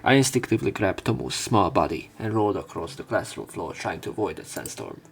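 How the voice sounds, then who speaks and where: A man with a low, creaky voice reads game text aloud as let's play narration. His delivery is dramatic, giving the dialogue acted urgency. Game music runs behind his voice. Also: sing-song, a little fast.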